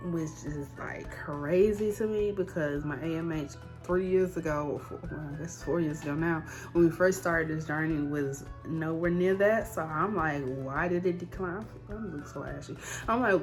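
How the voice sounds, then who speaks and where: Female vlogger, thirties, low register, candid and conversational with emphatic repeats, background music. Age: 30s. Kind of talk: casual personal vlog to camera.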